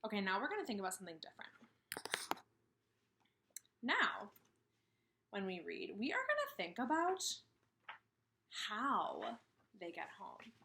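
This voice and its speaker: A woman in her thirties teaching and reading aloud to kindergarteners, expressive, with questions and emphatic pauses. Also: medium pitch, a little hiss or hum. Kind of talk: instructional read-aloud for young children. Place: indoors, room with a brick wall.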